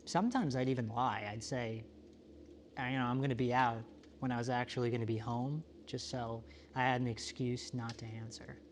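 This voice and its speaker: A man playing a solitary, introspective apocalypse survivor in a found-footage monologue, talking in a halting, self-questioning, confessional way. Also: mid-range voice, fairly even intonation, a little hiss or hum, close to an everyday voice. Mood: weary, reflective. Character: solitary, world-weary, introspective male survivor of a quiet apocalypse.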